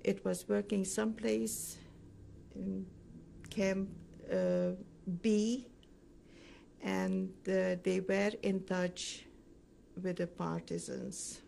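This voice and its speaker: Older woman with a creaky voice, halting. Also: medium pitch, level tone, mellow, slight background noise. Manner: halting, pausing often mid-sentence. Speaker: Holocaust survivor giving testimony. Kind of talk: recorded oral history interview, recounting memories.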